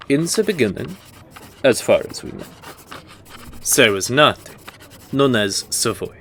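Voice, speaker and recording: A man playing a formal history professor in an audio roleplay, lecturing in a measured, deliberate manner. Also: mid-range voice, a bit bright, a little hiss or hum, full character voice. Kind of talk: roleplay audio drama acting.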